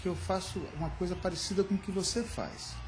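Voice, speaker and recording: A man's voice: high-pitched, steady tone, a little fast, heavy background noise. A man in his fifties giving a casual interview into a handheld mic in a small room, reflective and searching for words. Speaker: interviewed musician. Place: small indoor room backstage.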